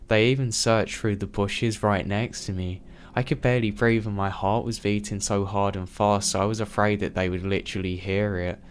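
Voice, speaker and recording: A man with a fairly low voice narrates scary stories in the first person. His delivery stays steady and even from line to line, with a faint hiss of noise behind him. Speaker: storyteller. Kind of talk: reading true scary stories aloud.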